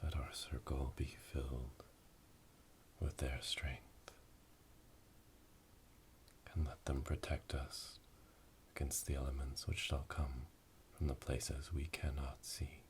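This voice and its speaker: A man playing a solemn witch leading a dark initiation ritual in an ASMR roleplay, whispering slowly and breathily in a monotone incantation, recorded close on binaural microphones. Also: a bass voice. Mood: solemn, hushed. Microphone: binaural microphones, close to the ears. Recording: close binaural recording with clear background noise.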